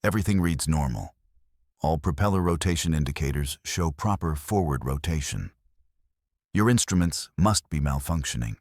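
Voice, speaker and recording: A man voicing a curt, dismissive middle-aged ship captain, flat and firm. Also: rumbling low, moderate pace, breathy edge, no echo, clean studio sound, full character voice.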